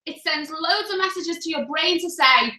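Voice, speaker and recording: A young woman co-hosting a live stream, talking loudly and energetically and laughing often. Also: very high voice, normal speed, roomy sound, background noise. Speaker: co-host of a live business coaching stream. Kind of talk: casual live-stream chat.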